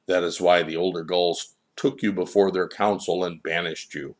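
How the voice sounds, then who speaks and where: A divine child in a storybook, voiced by a man in his own low register. The delivery is stern and measured, patiently lecturing with solemn wisdom. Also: fairly even intonation, lightly acted. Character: stern, wise divine child, serenely correcting.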